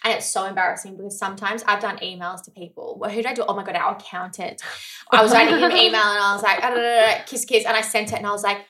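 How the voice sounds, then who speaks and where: An Australian woman hosts a casual podcast conversation. Her voice is bright and crisp-edged at a middling female pitch, and she talks in a chatty, informal way. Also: fairly even intonation, racing. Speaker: podcast host. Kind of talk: casual chat.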